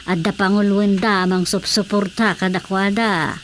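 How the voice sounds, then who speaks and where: A woman's voice: mid-range voice, little pitch movement, normal speed, lightly acted. A woman playing a worried elderly mother in a radio drama, with faint noise behind her. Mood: worried, weary.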